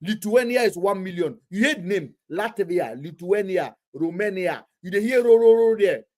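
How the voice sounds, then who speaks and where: Emphatic Nigerian man with high voice. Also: lively intonation, moderate pace. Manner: forceful, questioning, emphatic. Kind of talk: heated casual debate.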